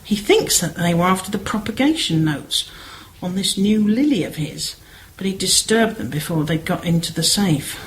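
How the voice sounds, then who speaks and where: A woman plays a chatty, concerned village woman in an amateur radio drama. Her voice sits slightly low and swings widely in pitch as she gossips and persuades. A clear layer of background noise runs underneath. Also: expressive, moderate pace, few pauses, lightly acted, rough recording. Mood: confiding, worried. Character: Martha, chatty, concerned village woman, sister seeking help. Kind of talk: amateur radio play acting.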